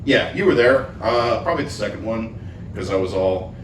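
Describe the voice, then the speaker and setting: A man with a low voice hosts a casual podcast chat, relaxed and rambling. He sits some way from the mic, so he sounds roomy and distant. A noisy background with a football game on surrounds him. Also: moderate pace. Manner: relaxed, rambling, with frequent fillers and laughs. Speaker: podcast host and band member. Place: living room with a football game on.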